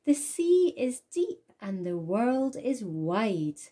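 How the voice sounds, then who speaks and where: A woman voicing a tiny, plucky female sea snail in a storybook, with earnest, drawn-out phrasing. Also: a little high, sing-song, warm tone, slightly rough, heavily acted. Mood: wistful longing.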